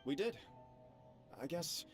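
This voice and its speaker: A man's voice: on the high side, expressive, slow pace. A man playing an honorable, lovestruck young warrior in a video game, speaking earnestly and formally. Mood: smitten. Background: game music.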